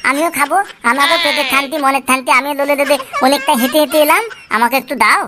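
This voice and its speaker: A woman's voice: high voice, fast, natural voice. A woman voicing a whiny, stubborn little boy in a comic cartoon, insistent and repetitive. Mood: demanding, whiny. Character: nagging, stubborn little boy demanding a soft drink. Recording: clear background noise.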